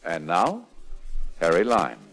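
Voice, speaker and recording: Animated male radio announcer over loud music. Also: sits low, slow pace.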